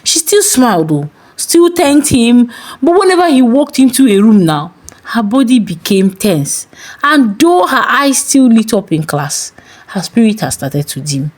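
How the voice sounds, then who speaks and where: A Nigerian woman tells a scripted story in a dramatic style. Her pitch is very lively, and she leaves deliberate pauses for weight.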